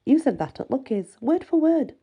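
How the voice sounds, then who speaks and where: A woman voicing a playful, smitten seventeen-year-old werewolf girl with a teasing, animated delivery. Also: sits high, normal speed, highs cut off, voice pushed up.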